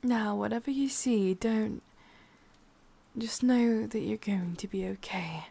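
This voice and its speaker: A woman voicing a sadistic, possessive witch lover in a breathy, husky whisper with an English accent, intimate and teasing, for an ASMR roleplay. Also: medium pitch, slow pace, warm tone. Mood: soothing, possessive.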